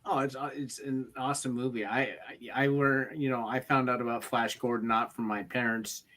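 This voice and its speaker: A man chatting casually on a film panel, starting and restarting his sentences in a halting way. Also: medium pitch, level tone, a bit bright. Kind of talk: casual chat.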